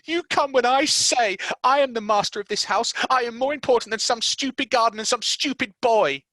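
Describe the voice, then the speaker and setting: A storyteller voicing a spoiled, sickly young boy with a shrill, whining voice. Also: takes it slowly, bright, forceful tone, dry recording, exaggerated voice. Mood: whiny. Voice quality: shrill, whiny.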